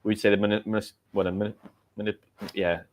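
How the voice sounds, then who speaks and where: A man with a British accent, talking through a relaxed livestream in a fairly low voice. He chats easily with viewers and stops now and then to correct their word choices, the way a language teacher would. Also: quick pace. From Britain. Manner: chatty, corrects learners' vocabulary. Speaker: English teacher hosting a livestream. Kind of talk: casual livestream chat. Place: home in Tenerife.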